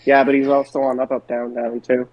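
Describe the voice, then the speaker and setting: A man's voice: medium pitch, level tone, brisk. A man co-hosting a podcast, chatting casually with friends over slightly dull audio. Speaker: podcast co-host. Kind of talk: casual group chat.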